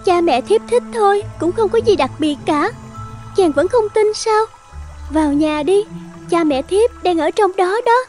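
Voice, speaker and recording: A woman voicing a gentle, pleading young ghost bride in an animated folktale, with polite old-fashioned speech. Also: very high voice, measured pace. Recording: music under the voice.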